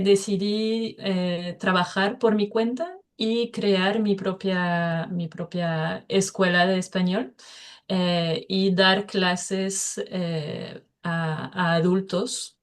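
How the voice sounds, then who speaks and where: A French woman, whose first language is French, speaking as a guest teacher in an interview and pausing often with filler sounds. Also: mid-range voice. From France. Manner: hesitant, many filler sounds between phrases. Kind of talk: casual interview.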